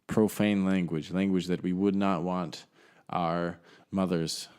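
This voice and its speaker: A man co-hosting a podcast, talking in a measured, explaining way and asking follow-up questions. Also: sits low, little pitch movement, darkish tone, smooth. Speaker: podcast co-host. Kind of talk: casual chat.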